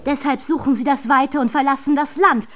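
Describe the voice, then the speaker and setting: An actress playing an odd, blunt country servant woman in a radio drama, speaking in a deliberate, repetitive way. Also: high voice, steady tone, fairly bright tone. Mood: matter-of-fact. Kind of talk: German radio drama acting.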